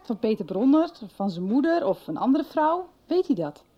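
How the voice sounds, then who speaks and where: An actress with a husky voice playing an earnest, opinionated woman in a conversational radio soap. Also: on the high side, big pitch swings, normal speed. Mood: probing. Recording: dull 1990s radio recording.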